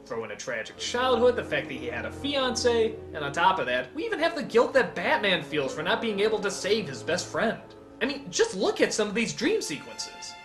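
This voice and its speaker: A man's voice: high voice, brisk, few pauses, music in the background. A man narrating a scripted comedic review in an emphatic, exclamatory way. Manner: animated, emphatic, exclamatory, profane. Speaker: video reviewer and countdown host.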